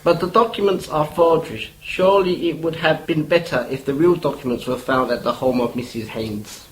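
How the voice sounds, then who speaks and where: A man's voice: fairly high pitch, normal speed, lightly acted. A man playing an arrogant, boastful hired agent in a radio play, pompous and self-assured. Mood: smug. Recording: roomy echo, background noise.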